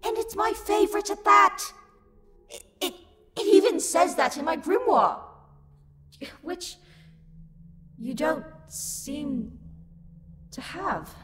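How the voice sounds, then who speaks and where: A woman's voice: extremely high pitch, lively intonation, takes it slowly, long pauses, slight background noise, full character voice. A woman playing a haughty, sassy, livid female demon in a dramatic roleplay monologue, indignant and imperious. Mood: exasperated, outraged. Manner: indignant, imperious, loud outbursts. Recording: long reverb, music behind the voice. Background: music.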